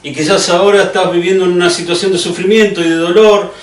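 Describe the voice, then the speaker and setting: A man's voice: high-pitched, fairly even intonation, fast talker. Echoey room, distant mic, middle-aged man, Rioplatense Spanish accent, conversational preacher, earnest, direct. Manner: direct, earnest address to the listener with rhetorical questions. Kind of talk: conversational sermon.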